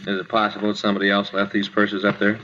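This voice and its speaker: Detective partner, American, casual, quick and forceful, in a muffled vintage radio police play. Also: male voice, fairly low pitch, completely flat delivery. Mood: businesslike. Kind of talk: 1950s radio drama acting. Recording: dull, noisy 1950s radio recording.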